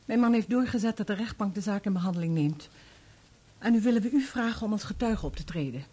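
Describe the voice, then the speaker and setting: An actress playing a polite, determined wife in a Dutch radio play, husky and purposeful. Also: female voice, medium pitch, lively intonation, fast talker, mellow. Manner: courteous, purposeful. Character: polite, determined wife seeking help for husband.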